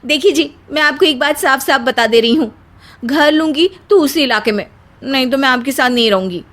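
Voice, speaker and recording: A woman's voice: high voice, a little fast, fairly bright tone. A woman voicing an envious, demanding young wife in a family story, insistent and exclamatory. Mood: angry, demanding. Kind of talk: dramatised Hindi family storytelling.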